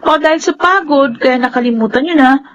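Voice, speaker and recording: Spiteful, very high-pitched spoiled daughter. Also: female voice, fairly quick, fairly bright tone, dull audio. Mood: scheming. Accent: Filipino. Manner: haughty, accusing, petulant. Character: spiteful, spoiled young daughter of a wealthy family. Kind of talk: Filipino radio drama acting.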